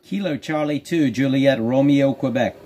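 A man's voice: medium pitch, normal speed. A man who operates amateur radio, speaking casually and calling out call signs. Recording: clear background hiss and radio noise. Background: ham radio static and chatter.

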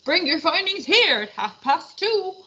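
A woman voicing a tiny, excitable puppet in a storybook fair show. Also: extremely high pitch, a little slow, muffled recording, slight background noise, voice pushed up, exaggerated voice. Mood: eager, cheery.

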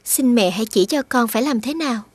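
A woman voicing a devoted young fairy wife in an animated fairy tale, gentle and formal in her phrasing. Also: a little high, quick pace, husky, no room sound. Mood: sorrowful, pleading.

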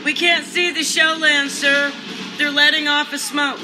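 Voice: high voice, flat delivery, unhurried, over music, rough recording. A performer playing an urgent army observer, dutifully reporting in a radio play.

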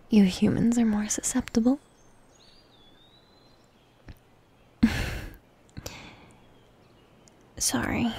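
A woman playing a jealous, needy elf wife in an intimate ASMR roleplay, speaking softly and haltingly with a sulky edge. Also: on the high side, heavily acted. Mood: teasing. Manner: soft, breathy, halting, sulky delivery. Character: jealous, possessive, needy tsundere elf wife, female warrior.

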